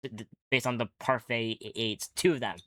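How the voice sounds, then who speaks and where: A man's voice: medium pitch, fairly even intonation, brisk, a bit bright. A man hosting a casual reaction video, exclaiming and swearing freely as he comments. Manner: profane, exclamatory. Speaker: video host and fan dubber.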